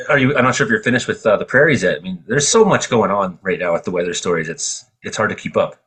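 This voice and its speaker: A man's voice: lowish voice, fast, sharp tone, husky. A man hosting a radio show in a casual, joking style of chat.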